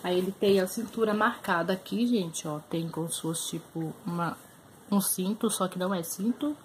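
A woman's voice: on the low side, normal speed, slight background noise. A young Brazilian woman with a Brazilian Portuguese accent, chatting casually to camera in a small room as she shows her thrift finds. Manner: chatty, shows items up close, many fillers. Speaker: vlogger. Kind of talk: casual haul video chat.

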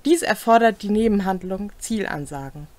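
A young adult woman, co-hosting and reading game rules aloud in a deliberate way with brief comments. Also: mid-range voice, lively intonation, darkish tone, no room sound. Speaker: co-host explaining tabletop game rules. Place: small home room, indoors.

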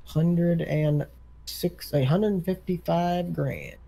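A man's voice: a little high, slow pace, gravelly, mellow. A man improvising casually as an equipment dealer in a game role-play, matter-of-fact and conversational.

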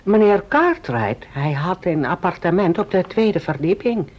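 A deferential foreign servant in an old radio play. The voice is very low for a woman and slow, halting and courteous, on a dull recording. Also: expressive. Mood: polite, deliberate. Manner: halting, broken grammar, deferential. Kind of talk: vintage Dutch radio play acting.

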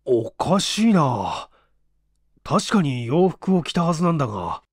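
A man's voice: a little high, sing-song, a little slow, dry recording, clean studio sound, heavily acted. A man voicing a forgetful, bewildered man who doubts his own memory, hesitant and puzzled, in a storybook reading. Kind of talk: acted dialogue in storybook reading.

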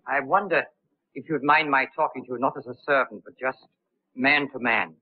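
A man's voice: mid-range voice, moderate pace, slightly rough, no echo, close to an everyday voice. An actor playing a deferential, loyal manservant in a 1930s film, polite and formal, calling his employer sir. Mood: earnest. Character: deferential loyal male manservant, middle-aged. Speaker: loyal butler Hendricks. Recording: tinny old 1930s film soundtrack.

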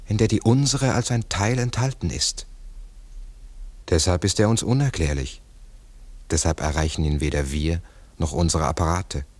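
Very low husky male voice, thoughtful investigator, deliberate, 1960s radio drama. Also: darkish tone, heavily acted. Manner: deliberate, pensive, explanatory. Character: earnest, philosophizing male investigator of a mystery. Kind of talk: 1960s German radio play acting. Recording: 1960s mono radio recording.

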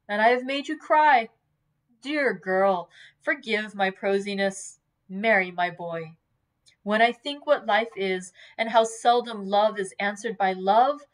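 A woman reading a novel in the voice of an earnest, tender old man who is quick to heat up. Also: medium pitch, close to an everyday voice. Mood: tender urging. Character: impassioned saintly old man, tender, hot-tempered, earnest.

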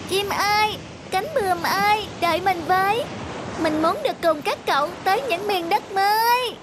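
Eager little boy, high-pitched. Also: moderate pace, noisy background. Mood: curious, dreamy. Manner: bright-eyed, wondering. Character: chubby little boy, eager, dreamy and curious. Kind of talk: animated moral tale dialogue. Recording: music behind the voice.

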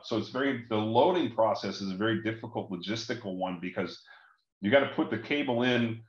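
A man giving an informal, explanatory technical presentation over a video call. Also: mid-range voice. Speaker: conference presenter. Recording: roomy, dull video call audio.

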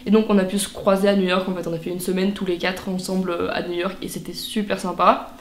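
A woman's voice: lowish voice, flat delivery, quick pace. Chatty teen girl in an echoey room. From France. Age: teens. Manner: chatty, frequent fillers and restarts. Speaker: vlogger. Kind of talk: casual vlog to camera. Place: bedroom, indoors.

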